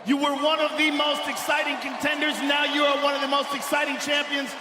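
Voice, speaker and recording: A man interviewing a fighter at cage side, loud and excited as he shouts over the crowd. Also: extremely high pitch, brisk. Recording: echoey arena with crowd noise.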